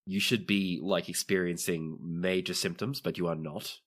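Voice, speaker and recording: Low-voiced man narrating as game master. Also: steady tone, normal speed, a bit bright. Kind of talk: narrating a tabletop roleplaying game.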